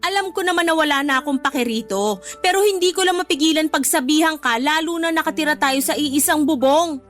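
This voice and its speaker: Fed-up nagging big sister, really high voice, radio drama scolding, soft music under it. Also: female voice, a little fast. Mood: exasperated, pleading. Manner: scolding, emphatic. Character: nagging, exasperated, concerned older sister of a lazy man. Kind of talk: Filipino radio drama dialogue.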